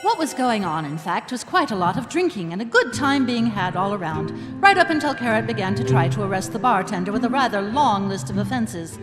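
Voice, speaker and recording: A woman's voice: medium pitch, very animated, brisk, hardly any pauses, close to an everyday voice. A woman playing a wry storyteller, giving droll scripted narration for a comic fantasy in live audio theatre. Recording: live hall, music underneath.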